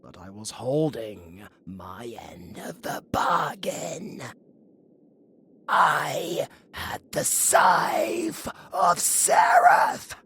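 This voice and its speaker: A man's voice: mid-range voice, huge pitch swings, very slow, gravelly, slight background noise, full character voice. A British man playing a flirty, sardonic undead necromancer villain in a theatrical audio roleplay. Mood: exasperated, bitter. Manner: theatrical, swearing, swinging between hushed murmurs and outbursts.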